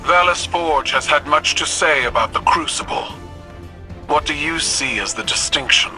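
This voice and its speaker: An actor voicing a stern, proud alien military commander in a video game, speaking formally and with measured authority. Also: male voice, a little high, pushed and bright, soft background music, poor audio. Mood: grave. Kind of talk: scripted game dialogue.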